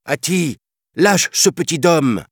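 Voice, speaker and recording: A protective she-panther from a storybook , voiced by a man, firm and earnest. The pitch is lifted and moves expressively as the guardian reasons and insists. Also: lively intonation, a little slow, fairly bright tone, no room sound, clean studio sound, exaggerated voice. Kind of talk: children's storybook reading.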